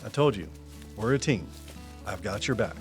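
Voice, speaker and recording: An actor playing a guarded, loyal tree expert in an audio drama, speaking in a plain, matter-of-fact way. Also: male voice, fairly low pitch, lively intonation, normal speed, music in the background, close to an everyday voice. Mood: resolute, protective. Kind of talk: naturalistic audio drama dialogue.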